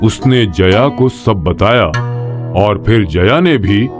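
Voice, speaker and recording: A man tells a moral story at an unhurried pace. His pitch moves expressively, and music plays behind him. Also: expressive. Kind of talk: scripted moral-story narration. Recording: music bed under the voice.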